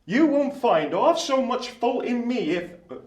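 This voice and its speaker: A man's voice: extremely high pitch, very animated, measured pace, darkish tone, heavily acted. A man acting a humble, kind-hearted country blacksmith in a radio play, earnest and homely in his turns of phrase. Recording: roomy echo, distant from mic.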